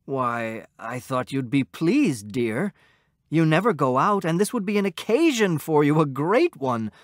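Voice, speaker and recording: A man's voice: fairly high pitch, very animated, a little slow, dry recording, studio quality. A man voicing a timid, devoted government clerk husband who pleads and stammers in a raised voice. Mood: pleading.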